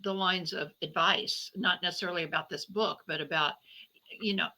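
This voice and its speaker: A woman's voice: fairly low pitch, steady tone, normal speed, pushed and bright, poor audio. A woman speaking conversationally as an interview guest, reflective and feeling her way through phrases with self-corrections. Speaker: guest novelist and podcaster.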